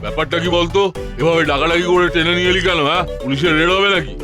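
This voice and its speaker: A man's voice: a little high, rapid-fire, music in the background, natural voice. A man voicing a swaggering, greedy gang boss in a comic cartoon, boastful and menacing.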